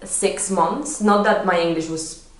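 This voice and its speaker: A woman in her thirties with a strong Spanish accent and a low, deep voice chats casually as a guest, easygoing and full of "like"s. She sits in a bright room indoors, and the camera mic picks up a very echoey space around her. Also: a little slow. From Spain. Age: 30s. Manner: relaxed, laughs easily, many fillers like "like". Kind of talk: casual interview chat.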